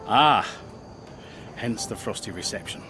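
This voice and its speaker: An actor playing a blunt, avuncular older racing team boss in a video game cutscene. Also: male voice, fairly low pitch, expressive, a little slow, noisy background. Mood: blunt, exasperated.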